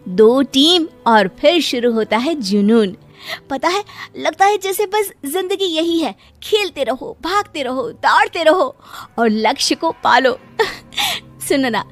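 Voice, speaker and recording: Lady playing a meek earnest village girl grown up, high voice, cartoon moral story, music behind. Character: earnest young village daughter, hopeful hockey player, meek. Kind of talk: Hindi moral-story acting.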